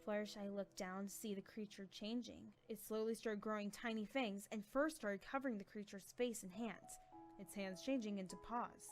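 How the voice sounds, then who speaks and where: A woman's voice: medium pitch, steady tone, normal speed, soft background music. A woman reading scripted third-person fiction aloud as a storyteller. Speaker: narrator.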